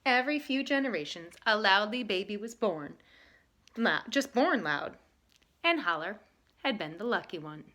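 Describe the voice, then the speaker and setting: A woman teaching and reading a picture book aloud with emphatic, expressive delivery. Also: mid-range voice, moderate pace. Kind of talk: lesson talk and animated storybook reading.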